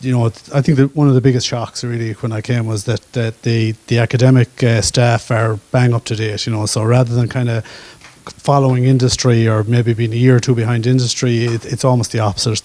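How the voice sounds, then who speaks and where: Relaxed man with a dark, flat voice. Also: mid-range voice, a little fast, no room sound. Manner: relaxed, hesitant, many fillers. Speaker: current master's student. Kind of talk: panel testimonial. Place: university event hall.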